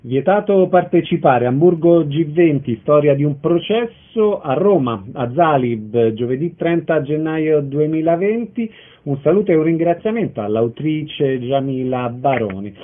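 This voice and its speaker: A man with a fairly high voice hosts a radio programme, putting questions and making introductions. The recording is narrow and band-limited, with a thin radio sound. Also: lively intonation, measured pace. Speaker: radio host, interviewer. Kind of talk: radio interviewing and presenting.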